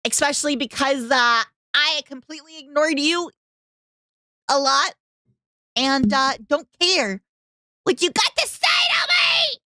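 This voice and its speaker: A woman streamer chatting casually with her viewers, her voice hoarse, bubbly and talkative. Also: sits high, very animated, unhurried, long pauses, sharp tone, no echo, studio quality. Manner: bubbly, talks to chat, hums tunes. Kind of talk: casual livestream reaction chat.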